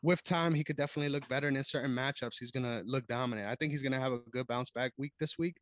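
Man, podcast co-host, measured analytical talk, casual sports chat, mid pitch, dry close sound. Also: no room sound. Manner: measured, analytical, frequent "I think".

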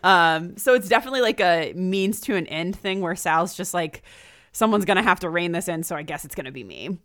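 A woman hosts a casual podcast discussion in a slightly low-pitched voice. She speaks informally and with enthusiasm, in the loose style of a chat between friends. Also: expressive, a little fast, fairly bright tone. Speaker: podcast host discussing books.

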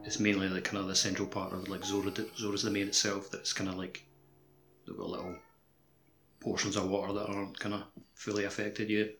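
A man's voice: on the low side, completely flat delivery, fairly quick, music in the background. A man co-hosting a gaming podcast, chatting casually about the game. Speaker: podcast co-host.

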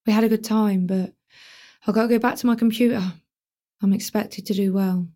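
Actress as sarcastic teen girl, quick-tempered, mid-pitched voice. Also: steady tone, dark tone, close to an everyday voice. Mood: wry. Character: teenage schoolgirl, dramatic, quick-tempered, wry. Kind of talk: radio drama acting.

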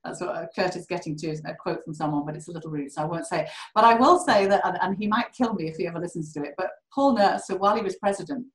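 A British woman telling candid stories in an interview, animated and quick to laugh. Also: fairly low pitch, echoey room, low-quality recording. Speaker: core facility director. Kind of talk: candid conversational storytelling.

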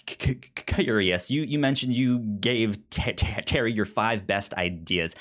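A man hosting a podcast, asking questions in a casual, slightly halting conversational way. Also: fairly low pitch, a bit bright.

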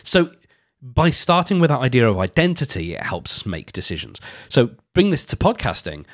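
A man's voice: mid-range voice, sing-song, moderate pace, dry recording, clean studio sound. A man hosting his own podcast, talking casually and rambling a little, with a congested, nasal voice from a cold. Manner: chatty, rambling, self-correcting. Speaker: podcast host and producer. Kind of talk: casual solo podcast talk.

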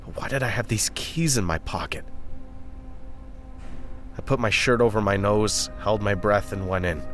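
A man plays a gritty, foul-mouthed convict telling his bleak story in an audio drama. His voice sits low and brooding. Music swells behind him with rain and thunder. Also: lightly acted. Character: bitter, lost convict recounting his grim story. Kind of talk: scripted first-person noir-style narration in an audio drama.

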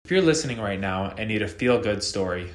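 Male podcast co-host, enthusiastic, lowish voice, roomy echo. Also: animated, fairly quick. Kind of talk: scripted recommendation.

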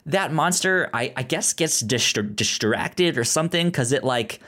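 A man co-hosting a casual film discussion, talking haltingly with repeated words and false starts. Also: mid-range voice, animated, sharp tone. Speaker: podcast co-host.

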